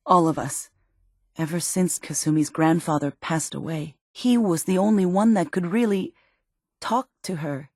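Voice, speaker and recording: An actress playing a worried, protective middle-aged mother in a post-apocalyptic video game, speaking earnestly and emotionally, with halting phrases. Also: lowish voice, darkish tone, no room sound, clean studio sound. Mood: subdued, worried. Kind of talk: dramatic video game voice acting.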